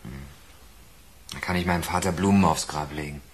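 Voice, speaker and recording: A man's voice: sits low, fairly quick, close to an everyday voice. A man playing a sarcastic, embittered young prisoner in a German radio crime drama.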